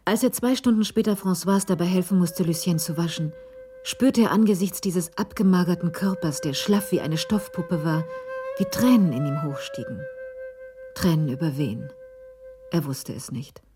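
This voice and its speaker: A woman's voice: on the low side, normal speed, over music. A woman reading scripted narration as the storyteller of a German radio crime drama.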